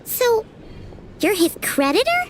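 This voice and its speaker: A woman voicing a small, childlike, excitable and earnest companion in a video game, eager and expressive. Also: very high-pitched, slow, background noise, heavily acted. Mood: curious. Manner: eager, wide-eyed, expressive.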